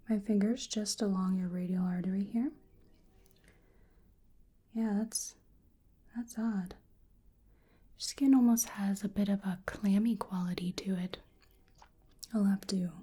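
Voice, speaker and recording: A woman speaking softly in a breathy near-whisper, gently musing and hesitating through an unhurried ASMR medical exam roleplay. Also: medium pitch. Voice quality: soft, breathy, often whispering. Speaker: ASMR performer playing a researcher examining a creature.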